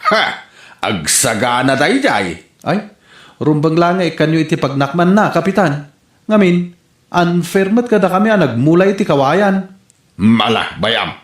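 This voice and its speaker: Indignant village grumbler for radio comedy, male actor: Ilocano accent, high, swooping delivery, scolding exclamations, roomy echo. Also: very animated, measured pace. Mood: indignant grumbling.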